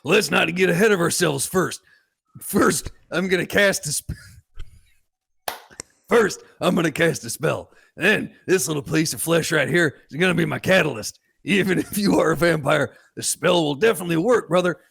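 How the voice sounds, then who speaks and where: A man voicing a gloating evil anime sorcerer with wrestler bravado, taunting and theatrical in a gravelly, husky voice. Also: on the high side, fairly bright tone, exaggerated voice. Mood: gloating menace. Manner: taunting, theatrical, drawn-out menace.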